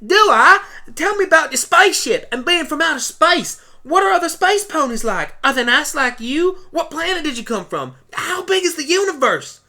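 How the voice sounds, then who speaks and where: A woman voicing an eager, excitable young farm pony with a folksy way of talking in a fan radio play. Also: high-pitched, normal speed, hardly any pauses, voice pushed up, full character voice. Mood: excited wonder.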